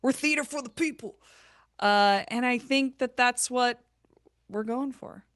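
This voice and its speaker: A woman's voice: a little high, measured pace, a bit bright, no echo, studio quality. An American woman speaking casually as a guest in an interview. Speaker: podcast guest, theater creator.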